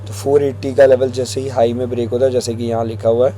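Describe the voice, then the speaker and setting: A man with a hoarse throat, walking viewers through stock chart levels in a relaxed tutorial, mixing in English phrases. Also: mid-range voice, quick pace, slight background noise. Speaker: stock market analyst.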